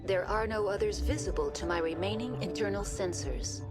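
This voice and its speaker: A woman acts a female virtual intelligence serving a sci-fi game facility. She is literal-minded, formal and impassive, with a mid-range voice and precise wording. Music is clearly audible behind her. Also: mid-range voice, normal speed, natural voice. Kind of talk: video game dialogue.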